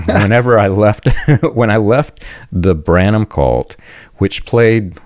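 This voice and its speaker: A very low-pitched man hosts a podcast in a casual, reflective tone, pausing to clear his throat now and then. The recording is dry and close, with no room sound around him. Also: darkish tone, no room sound. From United States. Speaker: podcast host.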